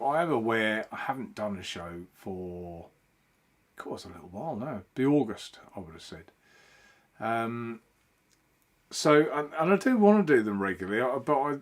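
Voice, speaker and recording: A man hosting a casual live stream from home, chatting in a rambling way and greeting viewers by name. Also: medium pitch.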